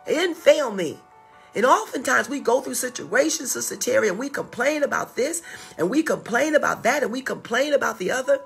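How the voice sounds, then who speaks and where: A woman preaching a sermon with fervor, drawing out scripture quotes slowly and often breaking in with "my God" and "glory to God.". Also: fairly high pitch, lively intonation, gravelly, breathy edge, soft background music.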